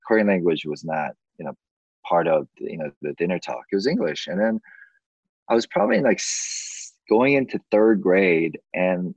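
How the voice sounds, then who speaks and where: A man from the United States chatting casually and telling personal stories in a husky, animated, joking way. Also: low voice, no echo, highs cut off. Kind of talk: casual chat, personal storytelling.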